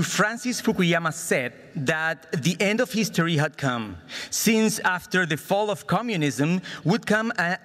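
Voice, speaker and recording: High-pitched Chilean man speaking in an echoing hall. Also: a bit bright. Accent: Chilean Spanish. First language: Spanish. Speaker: conference speaker at a political summit. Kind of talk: formal prepared political speech read aloud. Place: conference hall in Budapest. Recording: reverberant large hall sound.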